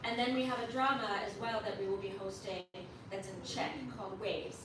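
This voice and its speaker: A woman speaking loudly without a mic, casually telling an audience about partner events. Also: medium pitch, a little fast. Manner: loud projected voice without a mic. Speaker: organization leader describing partner events. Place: hotel banquet room at a luncheon. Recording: echoey room, speaker far from mic, noisy.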